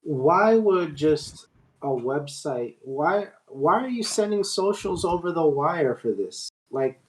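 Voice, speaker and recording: A man's voice: a little high, animated, takes it slowly. A man in a casual tech chat, incredulous, stammering over words for emphasis, with clicks and noise on his recording. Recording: clearly noisy line with clicks.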